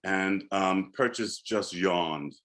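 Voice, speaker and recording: A man in his sixties with a deep bass-baritone voice, reflecting on his student years as a panelist in an alumni discussion. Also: slow. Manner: reflective, turns animated in storytelling.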